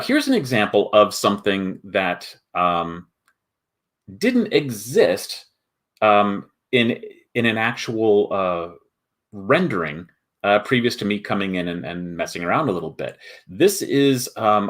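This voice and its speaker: Male livestream host, low voice, informal and explanatory, often halting as he thinks aloud. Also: a bit bright. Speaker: host, board game video maker. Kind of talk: casual livestream presentation.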